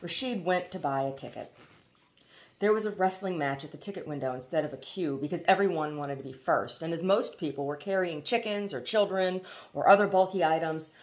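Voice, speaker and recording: A woman reading a novel aloud as expressive storybook narration. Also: low voice. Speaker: audiobook reader.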